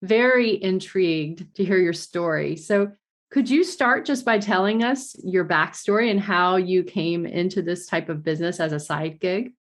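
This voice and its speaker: A woman's voice: fairly low pitch, normal speed. A woman hosting a podcast, introducing her guest and asking questions in an earnest, enthusiastic way. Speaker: podcast host.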